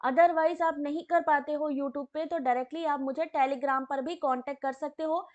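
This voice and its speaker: Hoarse high-voiced woman teaching live. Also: steady tone, moderate pace, darkish tone, highs cut off. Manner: chatty, addresses viewers by name. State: hoarse, sore throat. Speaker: online exam-prep teacher. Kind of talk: live online class, answering chat.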